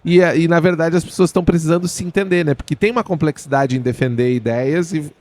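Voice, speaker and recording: Affirming man, lightly raised pitch. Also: fast, a little mellow. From Rio Grande do Sul, Brazil. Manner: affirming, finishes the guest's sentences. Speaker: podcast host. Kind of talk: conversational interview.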